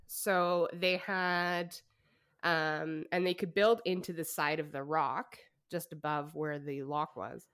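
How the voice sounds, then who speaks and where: A woman's voice: low voice, fairly even intonation, slow, a bit bright, clear, resonant tone. A woman co-hosting a podcast, telling a story in casual chat with fillers and laughs. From Canada. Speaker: podcast co-host.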